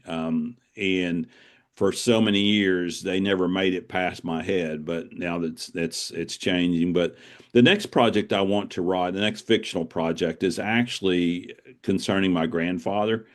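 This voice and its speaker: Male author guest, mature, low register. Conversational, reflective storyteller with a halting, rambling delivery. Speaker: author being interviewed. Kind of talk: casual conversational interview, storytelling.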